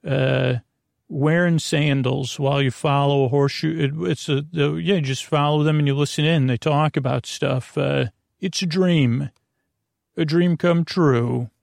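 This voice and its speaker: Creaky-voiced man rambling on a bedtime podcast. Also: a little high, fairly even intonation, no room sound, clean studio sound. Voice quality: creaky, gravelly. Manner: meandering, halting, trailing off and circling back. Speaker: podcast host.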